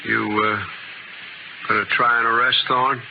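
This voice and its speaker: A man's voice: medium pitch, steady tone, takes it slowly, vocal fry. An actor playing a cautious, sensible ranch foreman in a radio western, plainspoken, folksy and hesitant. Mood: somber. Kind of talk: 1950s radio western acting. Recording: noisy, muffled old radio broadcast recording.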